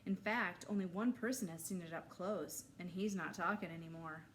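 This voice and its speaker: A woman voicing a bald older man telling spooky, suspenseful camp tales with drawn-out drama in a children's storybook. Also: on the low side, slight background noise, lightly acted. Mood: ominous. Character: bald older male camp storyteller, ominous yet cheery.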